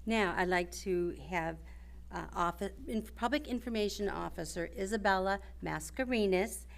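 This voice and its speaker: A woman chairing a school board meeting, briskly moving the agenda along. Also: medium pitch, gravelly. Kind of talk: running a public meeting.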